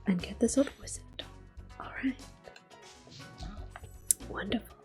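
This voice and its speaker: A woman giving soft-spoken, often whispered ASMR commentary on a video game, in a gentle, hushed manner. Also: on the high side, a little slow, faint music behind. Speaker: ASMR gaming host.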